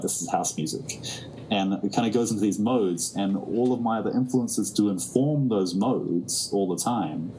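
A man from New Zealand talks things through slowly in a very low voice, often circling back to restart a thought. Faint ambient noise sits under the recording. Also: mellow, rough recording. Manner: thoughtful, many restarts and fillers. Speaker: musician guest. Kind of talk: reflective interview talk.

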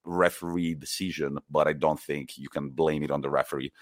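A man's voice: a bass voice, a little fast, no room sound, studio quality. A young man, co-hosting a casual football podcast and telling stories with emphatic energy. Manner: animated, emphatic storytelling. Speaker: podcast co-host.

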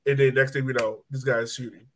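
A man's voice: medium pitch, flat delivery, brisk, a bit bright. A man hosting a soccer podcast, talking casually and conversationally, often saying "like" and "you know". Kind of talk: casual sports talk.

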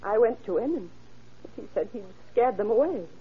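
A woman's voice: fairly high pitch, animated, normal speed, husky. Hesitant, genteel old mother, muffled. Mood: flustered, explaining. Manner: hesitant, flustered explaining. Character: elderly, evasive, genteel mother under police questioning. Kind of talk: old-time radio crime drama acting. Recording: dull vintage radio recording, faint noise.

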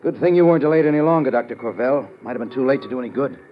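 A man's voice: medium pitch, rapid-fire, a little hiss or hum, natural voice. An American man acting an affable legation official with breezy, colloquial speech in a 1950s radio drama. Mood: brisk, concerned. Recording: muffled old radio broadcast, faint music.

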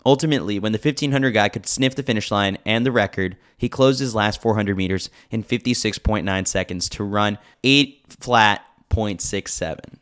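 A man's voice: lowish voice, moderate pace, few pauses, fairly bright tone. A man reading a scripted sports newsletter with a lightly wry, joking manner. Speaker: newsletter reader, podcast host.

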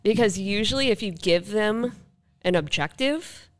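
A woman's voice: medium pitch, lively intonation, unhurried. A woman co-hosting a podcast, chatting casually and peppering her talk with "like". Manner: conversational, uses "like" often. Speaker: podcast co-host. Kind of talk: casual chat about the paranormal.

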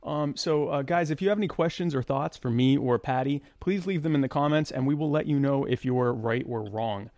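A man hosts an online talk show, speaking at a middle pitch. He sounds easygoing and self-deprecating, with plenty of fillers. The recording is dry and close. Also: a little fast, hardly any pauses, darkish tone, dry recording. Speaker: show host. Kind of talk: casual conversation.

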